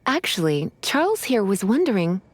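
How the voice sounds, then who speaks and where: A woman playing a poised, teasing, refined librarian in a video game, with a breathy, sultry voice. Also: medium pitch, sing-song, normal speed. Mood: smooth, teasing. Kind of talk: video game character dialogue.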